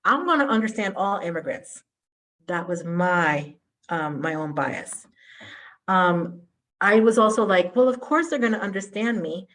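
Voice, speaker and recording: A middle-aged woman from Colombia, a native Spanish speaker, giving a conference keynote with animated, self-deprecating asides. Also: on the low side, a little slow.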